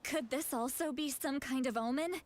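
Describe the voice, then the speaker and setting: A woman's voice: high voice, moderate pace, a little hiss or hum, natural voice. An actress playing an earnest, dutiful young female warrior in a video game. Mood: concerned, dutiful.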